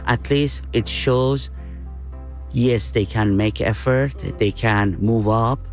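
A man in his sixties from Iran answering in an interview, earnest and deliberate in his phrasing. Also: medium pitch, soft background music. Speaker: interviewee, father.

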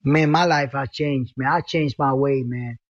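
A man in his fifties from Cuba, telling his story in a plainspoken, halting way, leaning on "you know" and "man.". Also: medium pitch, fairly even intonation. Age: 50s. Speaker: interviewee. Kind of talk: recorded interview tape. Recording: muffled tape with music underneath.

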